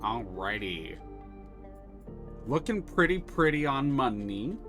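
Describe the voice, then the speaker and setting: Brief: man streamer, slow unhurried delivery, mutters plans aloud, curses when excited, soft music underneath. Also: mid-range voice. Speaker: streamer playing a video game. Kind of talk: thinking aloud while playing.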